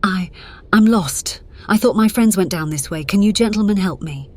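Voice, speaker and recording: Woman as a brooding low-voiced vampire narrator. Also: animated, natural voice. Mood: feigned helpless. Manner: grave, measured, theatrical. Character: brooding, conflicted, powerful female vampire, centuries old. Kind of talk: dramatic scripted narration. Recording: dramatic music behind the voice.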